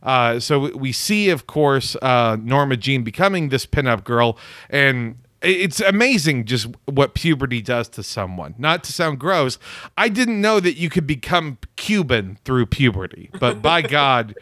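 A man talking through a film review with sly, sarcastic asides. His voice is bright and forward, and it sounds dry and close on the mic. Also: mid-range voice, measured pace, no echo. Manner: sarcastic, deadpan jokes, frequent laughs. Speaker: podcast co-host. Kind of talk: casual film review chat.

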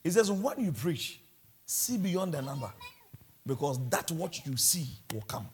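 A man's voice: on the high side, big pitch swings, a little slow, slight background noise. A man from Ghana with a Ghanaian accent, preaching a sermon and mixing in humorous asides. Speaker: pastor.

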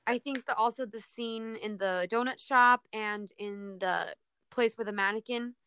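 A woman's voice: a little high, a little slow, pushed and bright. A woman chatting informally and enthusiastically as a panelist on a podcast about a TV show. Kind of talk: casual chat about a TV show.

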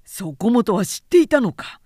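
A woman's voice: fairly high pitch, sing-song, normal speed, mellow, no room sound, studio quality. A woman voicing an agitated male samurai colleague in an audiobook, questioning in an urgent, blunt yet formal manner. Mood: shocked. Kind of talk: literary audiobook character reading.

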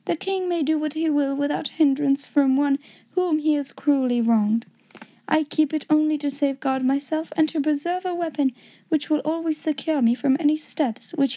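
High, composed, clever lady acted by a woman. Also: mellow. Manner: composed, courteous, formal. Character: clever, self-assured woman writing a farewell letter. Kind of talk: storybook letter read in character.

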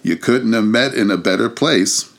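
A man's voice: lowish voice, moderate pace, creaky, pitched above the performer's own voice. A man voicing old Joe, a sly gray-haired dealer nearly seventy, in a storybook reading with an easygoing drawl.

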